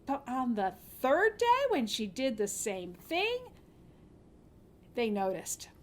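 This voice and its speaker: A woman telling a fairy tale to children in an expressive, dramatic manner with informal asides. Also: a little high, slow pace. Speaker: children's storyteller at a library storytime.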